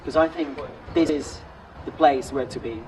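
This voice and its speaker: A man, speaking relaxed with fillers. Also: mid-range voice, normal speed. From Italy. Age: 20s. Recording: distant mic with music behind.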